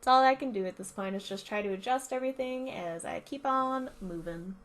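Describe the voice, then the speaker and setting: A woman talking over a drawing video, slightly raspy from a cold, chatty and self-critical as she explains each step. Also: fairly high pitch, a little fast. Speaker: art channel host. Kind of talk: voice-over narration of a drawing process.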